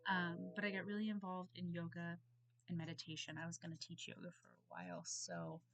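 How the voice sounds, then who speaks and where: A hoarse woman getting over a cold talks into her computer mic at home, rambling through her thoughts with lots of ums and quick apologies. Her voice is gravelly and fry-heavy but carries a bright, tense edge. Her pitch sits a bit low. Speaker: student. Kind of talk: casual recorded reading response. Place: at home on a bed.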